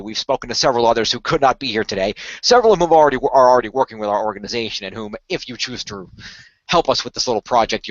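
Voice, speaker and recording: A man's voice: medium pitch, a bit bright, no echo, natural voice. A man playing an earnest, persuasive company recruiter in a radio play, speaking formally and wordily with careful hedges. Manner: formal, wordy, persuasive, hedging. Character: earnest male company recruiter pitching secret magical project. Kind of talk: improvised radio play roleplay. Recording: dull lo-fi voice recording.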